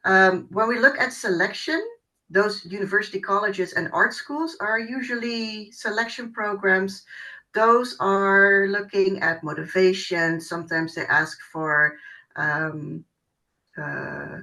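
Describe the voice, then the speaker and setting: A woman's voice: mid-range voice, takes it slowly, bright, forceful tone, poor audio. A woman working as a university recruiter, giving an informal presentation in an online webinar. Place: online video call.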